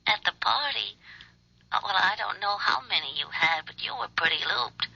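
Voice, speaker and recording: Casting: breezy office girl on the phone, very bright edge with rasp, vintage radio drama, muffled sound. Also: mid-range voice, animated, moderate pace, heavily acted. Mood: amused, coy. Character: young office secretary, breezy and politely evasive. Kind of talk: 1970s radio drama acting. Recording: tinny telephone voice, dull radio recording.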